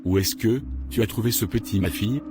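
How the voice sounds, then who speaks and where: A man playing a stern, snobbish, domineering father in an animated drama, with a commanding manner. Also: lowish voice, brisk, background noise, close to an everyday voice. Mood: suspicious, scornful. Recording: clear music behind the voice.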